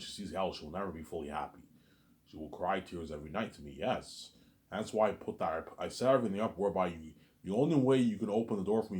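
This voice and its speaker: A man talking straight to his audience in a rambling monologue, repeating himself, stumbling over restarts, and letting his asides fade quietly. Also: deep voice. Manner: repetitive, stammers on restarts, trails off quietly on asides. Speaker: solo vlogger.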